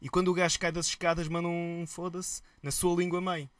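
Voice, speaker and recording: A man with a European Portuguese accent, a musician guest telling stories in a relaxed, colloquial interview chat. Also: high voice, fairly quick, dry recording. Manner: lively storyteller, relaxed and colloquial.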